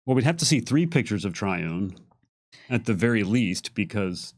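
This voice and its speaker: A man's voice: fairly low pitch, big pitch swings, moderate pace, no room sound. A man on a livestream panel explaining game lore casually, stressing and restating his points.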